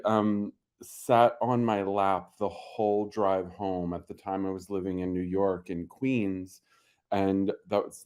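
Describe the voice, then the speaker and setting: Low-pitched guy on a video call, halting and emotional, keeps restarting his sentences. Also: warm tone. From Pennsylvania. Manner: halting, many ums and restarts. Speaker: pet owner guest. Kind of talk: emotional interview talk.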